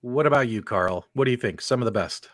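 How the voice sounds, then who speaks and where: A man's voice: mid-range voice, brisk, no echo, clean studio sound. A man hosting a live video game podcast, talking with energy and hyping up the audience. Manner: energetic, upbeat, hypes the audience. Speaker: host.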